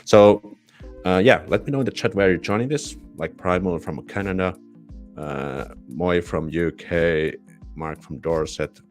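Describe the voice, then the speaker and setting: A man's voice: sits low, unhurried, soft background music. A man with a Finnish accent hosting a casual live-stream Q&A, chatty and rambling with false starts and self-deprecating asides. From Finland.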